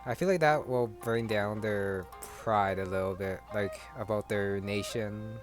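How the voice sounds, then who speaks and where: A man's voice: on the low side, unhurried. A man giving casual let's-play commentary, musing to himself in short remarks as he plays a video game. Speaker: gamer commenting on his playthrough. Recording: game music under the commentary. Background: game music.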